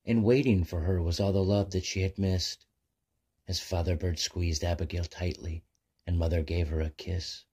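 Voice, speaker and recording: A man narrating a rhyming children's bedtime story in measured, sing-song verse. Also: deep voice, fairly even intonation, darkish tone, slightly rough.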